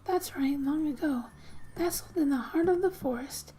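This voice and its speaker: A woman speaking softly and breathily, often near a whisper, reading a game's story aloud in a gentle, unhurried ASMR style with casual asides. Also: sits high, a little hiss or hum. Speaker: ASMR gamer and host.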